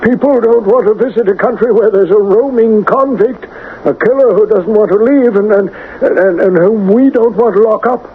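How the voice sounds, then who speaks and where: A man's voice: high-pitched, normal speed, slight background noise, natural voice. A man playing a courteous finance minister. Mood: persuasive. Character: suave male government minister of finance. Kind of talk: 1970s radio acting. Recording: tinny old 1970s radio broadcast.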